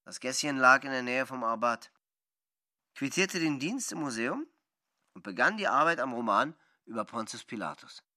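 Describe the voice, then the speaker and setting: A male narrator tells a story for a radio play. His voice is strikingly bright and tense, with animated swings in pitch, and sounds dry and close. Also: normal speed, no room sound.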